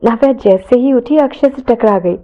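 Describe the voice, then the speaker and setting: High-voiced woman narrating a romance. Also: moderate pace, narrow, tinny audio. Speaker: storyteller. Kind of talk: dramatised romance story narration.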